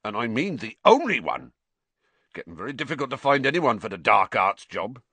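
A man voicing a big, gruff, warm-hearted gamekeeper with a growling, blunt way of talking. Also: mid-range voice, very animated, moderate pace, no echo, heavily acted.

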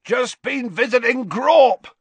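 A man reading an audiobook voices a huge bearded gamekeeper, gruff and kindly, calling out over the wind. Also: very high voice, takes it slowly, no room sound, voice pushed up, exaggerated voice. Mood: alarmed.

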